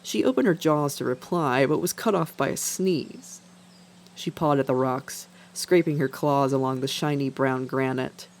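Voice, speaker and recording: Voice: medium pitch, measured pace. Storybook narrator with a mid-range voice. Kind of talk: scripted fiction narration. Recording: faint background noise.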